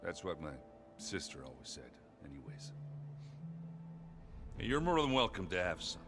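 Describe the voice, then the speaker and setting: An actor playing a good-natured, ale-loving rogue in a video game, bluff and wry, with a hesitant, rambling way of talking. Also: male voice, sits low, wildly swooping pitch, unhurried, over music, exaggerated voice. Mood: easygoing.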